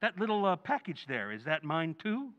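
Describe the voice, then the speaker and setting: Man playing a blustery, high-pitched radio-comedy dad. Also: sing-song, normal speed, highs cut off. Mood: indignant. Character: blustery, blundering working-class father, middle-aged. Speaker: stage actor in radio recreation. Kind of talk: old-time radio comedy acting. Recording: reverberant hall.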